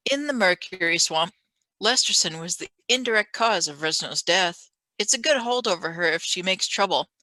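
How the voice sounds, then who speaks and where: A woman's voice: lowish voice, animated, moderate pace, pushed and bright, no echo. A woman playing a scheming lab assistant, controlled and matter-of-fact, in a radio play reading. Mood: conspiratorial. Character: cool, scheming female lab assistant and conspirator.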